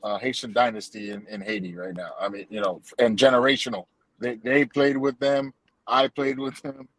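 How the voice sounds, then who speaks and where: A man co-hosting a podcast steps in with quick, casual asides to fill in background. His voice sits at an ordinary middle pitch and his delivery is relaxed and conversational. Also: no room sound, low-quality recording. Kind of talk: casual explanatory chat.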